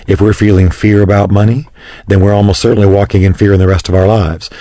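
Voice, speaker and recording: Man, radio host, raspy, low voice, rapid talk, dry close mic. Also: little pitch movement, no room sound. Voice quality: raspy today. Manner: warm conversational chat, some stumbles. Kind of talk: casual radio chat.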